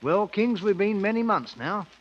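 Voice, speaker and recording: An actor playing a stubborn British ex-soldier turned king, with a working-class English accent and a commanding, rough-spoken manner, in 1950s radio drama style. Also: high-pitched, expressive, moderate pace, dull audio. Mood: defiant. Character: proud, stubborn British ex-soldier crowned king of hill country. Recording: vintage 1950s radio broadcast, loud music.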